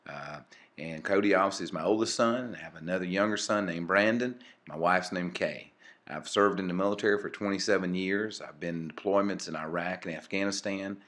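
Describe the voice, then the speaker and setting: A man's voice: deep voice, brisk, fairly bright tone. A man, casually narrating a channel intro with many fillers and restarts. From Mississippi. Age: 40s. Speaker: channel co-host.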